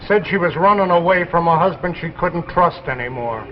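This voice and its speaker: A man's voice: a little high, lively intonation, brisk, natural voice. An actor playing a plain-spoken, gossipy male shopkeeper in a 1950s drama, blunt and colloquial. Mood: matter-of-fact. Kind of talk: 1950s anthology drama acting. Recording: dull old 1950s broadcast with music.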